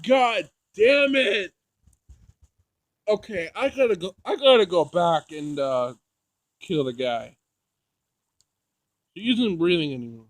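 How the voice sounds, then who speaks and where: A man playing through a visual novel, reading it aloud in an emotional, halting way with dramatic outbursts. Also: high voice, no room sound. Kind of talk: let's play narration with loud reactions.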